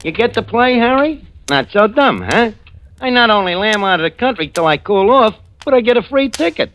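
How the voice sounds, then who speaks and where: A man's voice: high voice, lively intonation, moderate pace, a bit bright. An actor playing a cocky, slangy small-time New crook, boastfully telling his tale in an old-time radio drama. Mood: confiding, smug. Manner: wisecracking, boastful, hesitant with frequent uhs. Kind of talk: old-time radio drama acting, first-person narration. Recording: noisy vintage radio recording with clicks.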